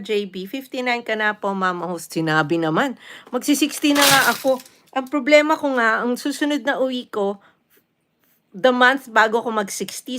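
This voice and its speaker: A woman's voice: on the high side, a bit bright. A woman of fifty-nine with a Filipino accent hosts a casual livestream, reading out and answering viewer comments in a motherly, encouraging way and sometimes switching between English and Tagalog. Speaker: livestream host.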